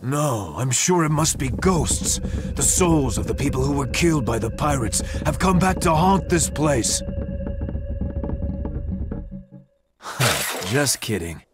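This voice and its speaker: Gossipy townsman in a game, medium pitch, bit of a joker. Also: big pitch swings, measured pace, over loud music. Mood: playfully spooky. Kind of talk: English video game voice acting.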